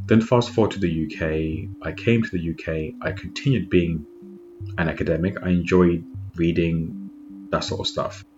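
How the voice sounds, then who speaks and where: Deep low voice guy from zimbabwe, relaxed reflective podcast chat, kinda muffled. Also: moderate pace. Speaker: podcast host. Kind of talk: casual talk. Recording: dull lo-fi sound with faint noise.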